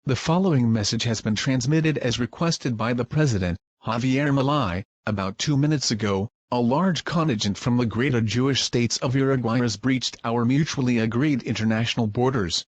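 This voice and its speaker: A man's synthetic voice reading an emergency broadcast in a flat, even way. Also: medium pitch, moderate pace, few pauses, no echo. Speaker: alert announcer.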